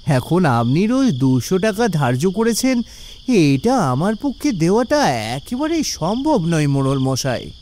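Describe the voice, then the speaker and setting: A man's voice: a little high, very animated, unhurried, a little mellow, slight background noise. A man playing an anxious, humble village vegetable farmer in a cartoon, with a worried and plaintive way of talking. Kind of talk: cartoon voice acting.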